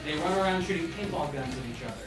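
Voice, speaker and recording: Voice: medium pitch, lively intonation, very fast. A person casually reading stage directions at a comedy table read, stumbling and adding amused asides. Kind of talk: scripted narration, read casually with improvised asides. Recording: echoey room, distant mic, loud music behind.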